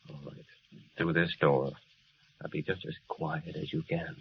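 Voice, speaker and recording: A dull, faintly noisy vintage radio recording carries a weary doctor. His voice is extremely low, with a grave, hushed and resigned delivery in old radio drama style. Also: flat delivery, unhurried, vocal fry, heavily acted. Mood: hushed, gentle. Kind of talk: 1940s radio drama acting.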